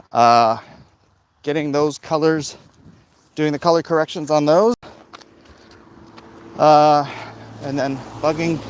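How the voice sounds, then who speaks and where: A man talking casually and ramblingly with jokey asides, as a vlog host out on a walk. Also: on the high side. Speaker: vlog host, comic book creator. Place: outdoors on a street walk. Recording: outdoor street noise, passing vehicles.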